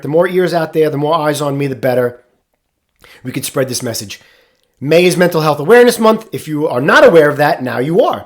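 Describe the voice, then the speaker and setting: A middle-aged man hosting a casual solo podcast, stuffed up and nasal from a sinus infection, rambling candidly. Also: a little high. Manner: rambling, candid, occasional swearing. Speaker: podcast host, firefighter.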